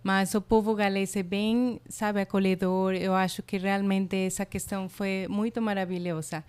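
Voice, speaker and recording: Middle-aged woman, spanish accent, smooth clear voice, chatty and upbeat with lots of fillers. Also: mid-range voice, flat delivery, normal speed. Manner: warm, enthusiastic, many fillers like "sabe" and "eh". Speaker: college international representative. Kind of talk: casual interview.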